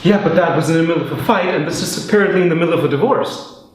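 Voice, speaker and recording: A young man playing an exasperated lawyer husband arguing with his wife, sarcastic, with sudden high outbursts. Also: racing, slight background noise, close to an everyday voice. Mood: outraged. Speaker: actor in a scene. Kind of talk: stage scene acting. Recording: very echoey room.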